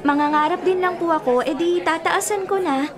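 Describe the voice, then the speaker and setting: A woman's voice: very high voice, moderate pace, a crowd in the background. A woman acting as an ambitious, cheeky teenage daughter in a Filipino radio drama, polite and confident. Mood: confident, playful.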